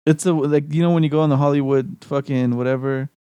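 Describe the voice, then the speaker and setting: A man's voice: fairly high pitch, steady tone, fast talker, dark tone, smooth, no echo, muffled recording. Laid-back, laughing male podcast co-host. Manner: laughs often, loose and profane. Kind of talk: casual chat.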